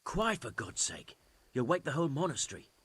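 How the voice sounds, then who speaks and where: A man's voice: mid-range voice, big pitch swings, brisk, fairly bright tone, slightly rough, dry recording, exaggerated voice. An actor playing an evasive, indignant nobleman in a video game, protesting in a sardonic, plain-spoken way. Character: evasive, indignant nobleman caught skulking at night. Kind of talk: dramatic video game acting.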